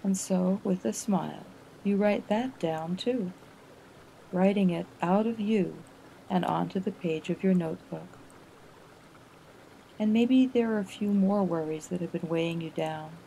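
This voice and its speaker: A woman narrating a guided relaxation exercise in a gentle, soothing manner, with unhurried phrasing and pauses. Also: medium pitch, creaky, a little hiss or hum, rough recording.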